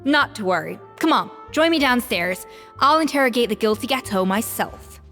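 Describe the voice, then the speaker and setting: An actress playing a sharp-tongued, confident head chef who speaks in a dry, commanding way. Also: female voice, on the high side, expressive, moderate pace. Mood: cool. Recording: game audio with reverb and music.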